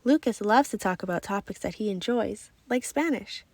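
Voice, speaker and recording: A woman playing a patient, reassuring schoolteacher who explains gently in a storybook. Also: medium pitch, normal speed, no echo, lightly acted.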